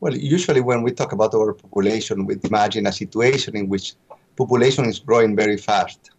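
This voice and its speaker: Guy, lowish voice, expert being interviewed, explains carefully and a bit haltingly. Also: gravelly, darkish tone, dull audio, slight background noise. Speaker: interview guest, expert official.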